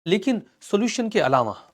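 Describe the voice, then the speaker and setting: A man with an Indian accent and a slightly hoarse throat, teaching a live online history class and reassuring his students as he goes. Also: high-pitched, big pitch swings, a little slow. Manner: reassuring, calls students "beta", mixes English into Hindi.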